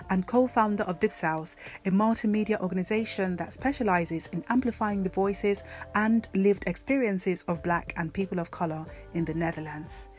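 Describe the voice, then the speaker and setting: Woman podcast host with music. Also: mid-range voice. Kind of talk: scripted intro and lively interview chat.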